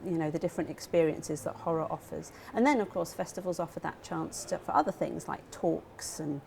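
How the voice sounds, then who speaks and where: A middle-aged British woman with a low, gravelly voice talks about film in an easy, chatty way, scattering "you know" through her answers. Her tone is dark and mellow, with a faint hum of room noise behind her. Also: fairly even intonation, brisk. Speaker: film lecturer guest. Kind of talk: studio interview answers.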